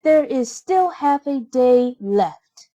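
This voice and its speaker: A woman voicing a sweet, grateful young heroine in a children's storybook, gentle and pleased. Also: sits high, expressive, slow, no echo, dull audio, pitched above the performer's own voice.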